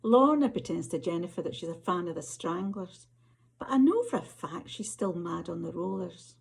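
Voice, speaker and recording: Husky Scottish woman reading short fiction. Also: fairly low pitch, expressive, moderate pace. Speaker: author reading their fiction. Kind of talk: literary reading of short stories.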